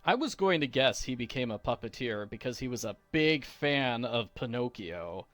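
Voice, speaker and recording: A man's voice: mid-range voice, expressive, normal speed, fairly bright tone, dry recording, faint music behind. A man giving casual, playful video game walkthrough commentary, with a theatrical streak.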